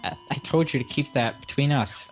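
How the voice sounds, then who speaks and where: A man's voice: mid-range voice, fairly quick, faint music behind, rough recording. A young man co-hosting a casual video game chat, cracking sarcastic jokes. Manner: joking, sarcastic quips. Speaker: co-host.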